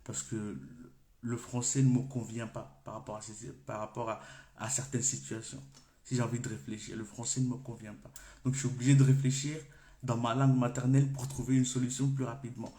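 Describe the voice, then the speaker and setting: A Comorian-speaking man giving a loose, off-the-cuff coaching talk, addressing the viewer informally. He works through his ideas out loud and restarts his sentences as he goes. His mid-range voice rings in a roomy, echoing space. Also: medium pitch, little pitch movement, a little fast. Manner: thinks aloud, informal, stops and restarts phrases. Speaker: personal development coach. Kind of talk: unscripted coaching talk to camera. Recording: echoey room.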